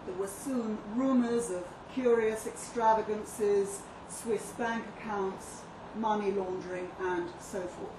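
A woman playing an earnest, articulate author giving an interview, read live as a measured, deliberate dramatic monologue. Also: mid-range voice, fairly even intonation, lightly acted. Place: live reading in a pub venue. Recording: distant echoey live room, noisy background.

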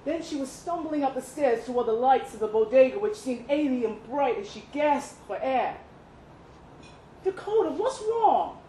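A woman reading a literary short story aloud at a live event, with expressive, deliberate phrasing and dramatic pauses. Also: high voice. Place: indoor live reading event at a pub venue. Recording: roomy live venue, some background noise.

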